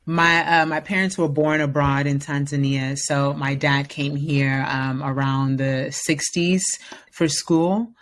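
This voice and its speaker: A woman's voice: deep voice, fairly even intonation, slow pace, slight background noise. A woman in her thirties from the Bay Area, talking as a guest in a casual interview, upbeat and confident with plenty of fillers. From San Francisco Bay Area, US. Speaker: podcast guest.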